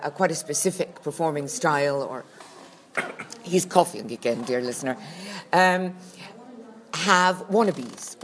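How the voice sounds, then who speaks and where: Loud, grumpy woman co-host with a lower voice, bickering on a phone-recorded podcast. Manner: blunt, grumpy and sardonic, loud. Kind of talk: bickering casual podcast chat. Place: busy charity shop. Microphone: phone held up by co-host.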